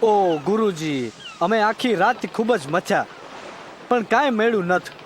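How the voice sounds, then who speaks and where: A man's voice: high-pitched, unhurried, a little hiss or hum. A man with a Kathiawadi Gujarati accent playing a humble, earnest village fisherman in a scripture audio drama. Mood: weary, respectful. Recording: music under the voice.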